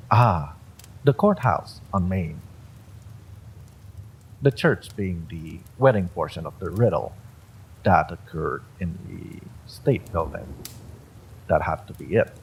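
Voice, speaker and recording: A man narrates a first-person horror story in a low voice with plenty of gravelly creak. He reads from a script as a storyteller. Faint noise sits behind him. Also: poor audio.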